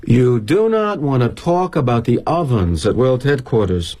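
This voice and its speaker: An actor playing a jaded middle-aged corporate executive, delivering a darkly wry, self-justifying stage-style monologue with arch, mannered phrasing. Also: male voice, medium pitch, expressive, moderate pace, mellow. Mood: rueful, confiding. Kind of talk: dramatic monologue, theatrical storytelling.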